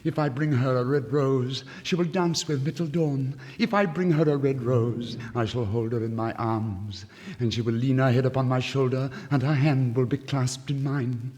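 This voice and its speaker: A man's voice: medium pitch, moderate pace, a little mellow, close to an everyday voice. A man playing a lovesick, despairing young student in a fairy tale, with melodramatic, lamenting 1950s storytelling delivery. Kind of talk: 1950s literary story record acting. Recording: vintage vinyl record, long reverb.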